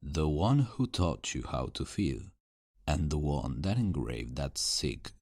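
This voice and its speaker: A man's voice: very deep voice, sing-song, slow, a little mellow, slightly rough, dry recording, clean studio sound, heavily acted. A man playing a smug, sadistic, centuries-old vampire in an ASMR roleplay, drawling with theatrical condescension. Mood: smug, needling.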